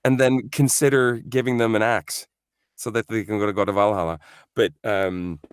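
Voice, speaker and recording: A man's voice: lowish voice, steady tone, moderate pace, clear, resonant tone, no echo, clean studio sound. A man from Norway, a voice actor guest, chatting in an interview, thoughtful and full of fillers and restarts. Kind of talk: casual interview chat.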